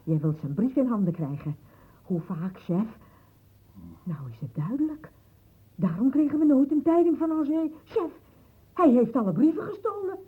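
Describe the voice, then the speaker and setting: A woman's voice: high voice, animated, normal speed, long pauses, husky. An actress playing a suspicious, accusing younger woman in an old radio play, pressing her point insistently. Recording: thin, phone-like old radio play recording.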